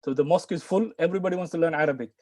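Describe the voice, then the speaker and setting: A man's voice: a little high, very fast, a little mellow, dry recording, clean studio sound. A middle-aged man teaching Arabic on a video call from a room at home, earnest and coaxing, often restarting his words. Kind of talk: live online class talk.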